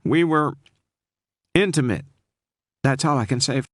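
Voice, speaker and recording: A man voicing a frightened, secretive married woman with a Southern accent, halting and near tears, in an audiobook. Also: medium pitch, no room sound, studio quality, voice pushed up, lightly acted. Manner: halting, sobbing, pleading. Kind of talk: audiobook character voice.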